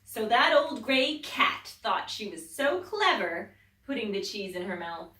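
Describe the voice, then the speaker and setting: A woman teacher reading a children's story aloud, expressive, dropping to whispers and rising to loud exclaims. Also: mid-range voice, measured pace. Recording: echoey room, distant mic.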